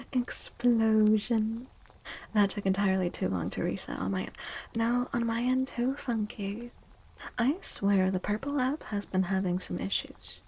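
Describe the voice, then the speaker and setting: Casting: female ASMR stream host, soft and breathy, slightly creaky, unhurried, hushed and gentle. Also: medium pitch. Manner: slow, hushed, gentle, often slipping into near-whisper. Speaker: livestream host. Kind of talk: soft-spoken ASMR livestream chat.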